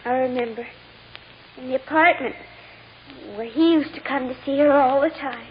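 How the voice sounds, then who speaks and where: A woman's voice: high voice, moderate pace, lightly acted. An actress playing an earnest, stubborn six-year-old girl, childlike and innocent, in a 1940s radio drama. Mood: timid, earnest. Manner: childlike, innocent, plain-spoken. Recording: dull, noisy old radio recording.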